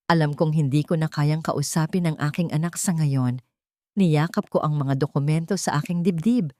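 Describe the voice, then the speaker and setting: A woman's voice: low-pitched, warm tone, no room sound, clean studio sound. A woman reading a scripted dramatic story as narrator.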